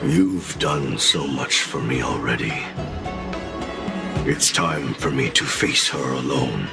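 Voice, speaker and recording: An actor playing the proud, weary spirit of a cursed sword in a video game, grave and measured. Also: male voice, a bass voice, animated, creaky, full character voice. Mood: weary, solemn. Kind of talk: dramatic game voice acting. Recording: loud music behind the voice.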